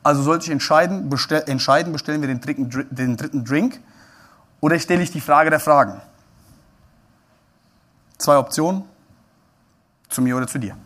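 Male keynote speaker, slightly high voice, playful, quizzes the crowd from the stage. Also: moderate pace, pauses often. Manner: lively, joking, addresses the audience directly with questions. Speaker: keynote speaker at a brokers' congress. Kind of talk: live stage talk to an audience. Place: congress stage before an audience.

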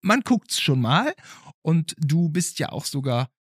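A man's voice: a little high, big pitch swings, measured pace, breathy edge, dry recording, studio quality. A man hosting a casual podcast in a playful, conversational way, often adding questioning tags. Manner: playful, hesitant phrasing with rhetorical tag questions. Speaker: podcast host.

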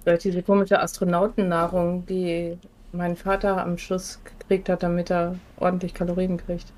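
A woman's voice: lowish voice, flat delivery, moderate pace, darkish tone. A woman co-hosting a casual chat podcast, getting over a cold, talking in a blunt, matter-of-fact way. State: leftover cold. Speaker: podcast co-host.

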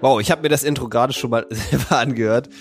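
A man with a cold who hosts a podcast, introducing the episode and asking relaxed follow-up questions. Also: medium pitch, fast. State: has a cold. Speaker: podcast host. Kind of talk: casual podcast chat.

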